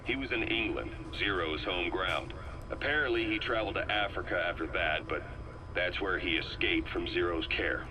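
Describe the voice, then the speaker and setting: An actor playing a shrewd, loyal middle-aged male spy and hypnotist on a video game cassette tape, speaking in a measured, cryptic way. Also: deep voice, noisy background, natural voice. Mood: grave, confiding.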